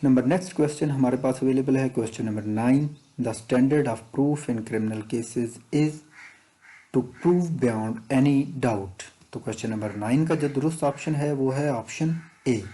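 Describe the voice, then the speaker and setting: A man's voice: medium pitch, a little slow, dark tone, low-quality recording. A man with a Pakistani accent teaching as an exam tutor, methodically reading out questions and explaining answers, switching between two languages.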